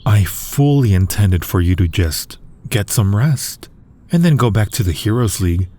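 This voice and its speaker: A man's voice: fairly low pitch, expressive, normal speed, warm tone. A man playing a smug yet protective supervillain in an intimate audio roleplay, speaking huskily in a conversational, teasing and coaxing way. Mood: persuasive, teasing. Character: male supervillain, smug yet protective toward his hero nemesis. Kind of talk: intimate ASMR-style audio roleplay acting.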